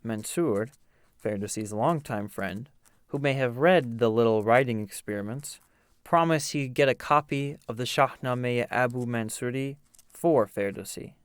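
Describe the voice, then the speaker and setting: A man narrating a historical tale as a storyteller, with theatrical, measured delivery. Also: mid-range voice, clear, resonant tone, dry recording. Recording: music under the voice. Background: musical instruments playing.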